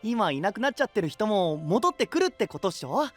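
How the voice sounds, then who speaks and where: A man playing an upbeat, caring young knight in an anime-style voice drama, with casual, slangy and expressive speech. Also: high voice, a little fast, faint music behind. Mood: upbeat, curious.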